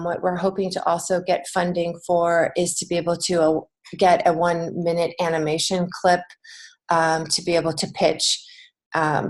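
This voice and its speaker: An American woman speaks as a guest in a conversational interview. Her voice sits slightly low for a woman. She comes across as earnest and encouraging, explaining things in an informal way. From United States. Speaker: podcast guest, children's book author and teacher.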